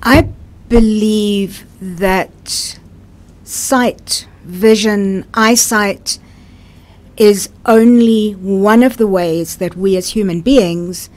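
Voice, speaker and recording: A woman's voice: medium pitch, very slow, a little mellow. A middle-aged woman with a South African accent, speaking as an interview guest and telling her own story conversationally.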